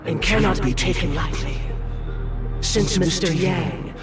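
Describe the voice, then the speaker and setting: An actor playing a lofty, measured male dream ruler who speaks in a grave, formal way, recorded as a game dub over a loud score. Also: sits high, loud music behind the voice. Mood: solemn.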